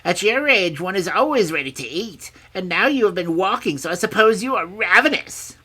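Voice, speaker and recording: A woman's voice: mid-range voice, animated, normal speed, pushed and bright, slightly rough, pitched above the performer's own voice. A woman voicing a mean, grasping little old crone in a storybook, grumbling and mocking as she haggles. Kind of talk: storybook character voice.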